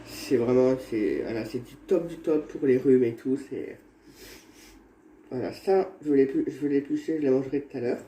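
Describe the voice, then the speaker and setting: A man vlogging at home while he has a cold, showing his remedies in a halting, rambling way that repeats itself. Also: mid-range voice, mellow. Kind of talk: casual home how-to vlog. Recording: echoey room with faint background noise.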